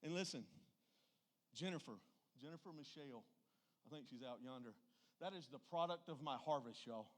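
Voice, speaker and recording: A man's voice: mid-range voice, normal speed, pauses often. A middle-aged man preaching an informal sermon, emphatic and folksy, often putting rhetorical questions to his listeners.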